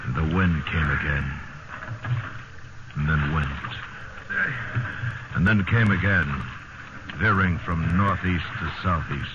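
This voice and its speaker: A man narrating a 1950s radio drama as a grave, measured storyteller with a poetic delivery. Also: low-pitched. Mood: solemn, measured. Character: grave literary narrator of a shipwreck tale. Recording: muffled, very noisy old radio recording.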